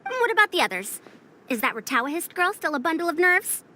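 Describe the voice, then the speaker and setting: A woman's voice: very high voice, sing-song, brisk, pushed and bright, exaggerated voice. A woman voicing a proud, self-assured veteran scholar in a video game, slightly haughty with old-fashioned phrasing. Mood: curious, brisk. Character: confident, proud, brisk female veteran scholar. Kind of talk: voiced video game dialogue.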